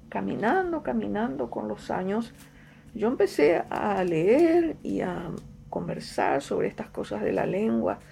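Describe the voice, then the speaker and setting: A middle-aged Paraguayan woman writer, a native Guaraní speaker, answering interview questions in an unhurried, reflective way with frequent fillers and repetitions. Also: medium pitch, vocal fry, dark tone. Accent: Paraguayan Spanish.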